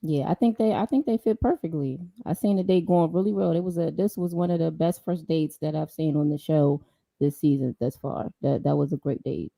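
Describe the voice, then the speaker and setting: Deep, mellow-voiced woman co-host. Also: gravelly, dry recording. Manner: slow, drawling, opinionated. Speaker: podcast co-host. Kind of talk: casual reality-show discussion.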